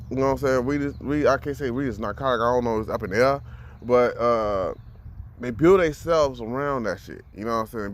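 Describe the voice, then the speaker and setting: A man's voice: mid-range voice, slight background noise. A man in his thirties talking bluntly and profanely in a casual monologue, often repeating words as he gathers his thoughts. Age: 30s. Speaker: vlogger.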